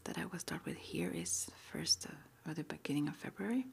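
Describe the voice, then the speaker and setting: A woman whispering in a breathy, mostly unvoiced ASMR style, reading out short affirmations slowly and deliberately with pauses. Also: sits low, animated. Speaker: ASMR roleplay host.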